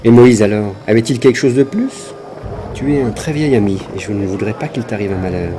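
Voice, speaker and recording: A male actor plays a cautious, sober companion in a cartoon. His voice is fairly low, grave and restrained, set against clearly noisy ambience. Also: fairly quick, close to an everyday voice. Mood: grave warning. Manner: grave, restrained, quietly warning. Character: sober, cautious old friend of a rich man. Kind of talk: dubbed religious cartoon acting.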